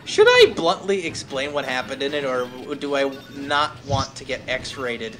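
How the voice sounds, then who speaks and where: A man's voice: medium pitch, big pitch swings, measured pace. A man reading fan fiction aloud on a stream with wry, casual asides, often interrupting himself, some noise in the background. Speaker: streamer, host.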